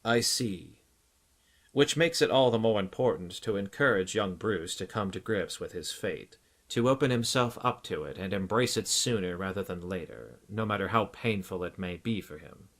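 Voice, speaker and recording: A man voicing a dutiful, concerned butler in an audiobook, speaking in a formal, measured and politely reserved way. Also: fairly low pitch, animated, a bit bright. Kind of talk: audiobook character acting.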